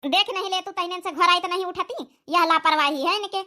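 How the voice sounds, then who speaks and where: A woman's voice: very high voice, fairly quick, sharp tone. A woman voicing a scolding village mother in a cartoon comedy, with an Awadhi accent and a nagging, repetitive way of talking. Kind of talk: cartoon comedy dialect acting.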